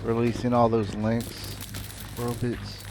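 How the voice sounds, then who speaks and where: A man casually commenting on his own gameplay, muttering relaxed asides as he plays. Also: mid-range voice, takes it slowly, heavy background noise. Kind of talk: casual let's-play commentary.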